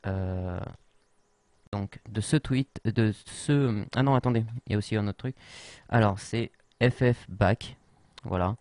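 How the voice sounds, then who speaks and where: Hesitant low-voiced man. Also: darkish tone, dry recording. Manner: hesitant, many fillers and restarts. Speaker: tutorial presenter. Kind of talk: unscripted screen tutorial.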